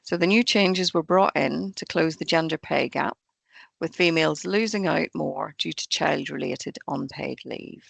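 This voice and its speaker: A woman talks through pension rules in a webinar, her hoarse voice deeply gravelly and full of fry. She keeps an even, explanatory pace over a video call. Her voice is dry and close, with no room sound at all. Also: measured pace, dry recording. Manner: steady, explanatory, reads through rules point by point. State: croaky, hoarse voice. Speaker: pension fund trainer. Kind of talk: presenting an online employer webinar.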